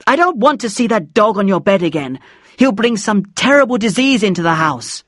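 A reader acts out a middle-aged mother in an audiobook, her voice pitched up high. She is angry and possessive, nagging and scolding with insistent complaints. The sound is close and dry. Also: sing-song, a little fast, a bit bright, no room sound.

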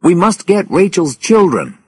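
A man's voice: a little high, big pitch swings, slow, darkish tone, no echo, pitched above the performer's own voice, full character voice. A man voicing a brave, determined male rescuer in an audiobook disaster story, speaking urgently and emphatically.

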